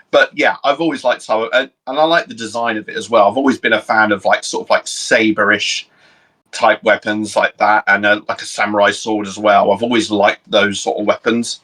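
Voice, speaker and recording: A man's voice: lowish voice, fairly even intonation, moderate pace, sharp tone. A man with a Cornish accent, co-hosting a casual chat over a video call, rambling with many fillers and restarts. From Cornwall, England. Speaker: co-host.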